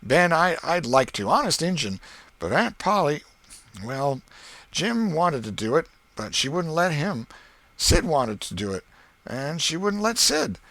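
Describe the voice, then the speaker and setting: Man voicing a sly, folksy schoolboy, pitched up. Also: sing-song, measured pace, creaky, fairly bright tone, no room sound, exaggerated voice. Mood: feigned reluctance. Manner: folksy rural dialect, drawling hesitations. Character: sly, carelessly cool schoolboy feigning reluctance.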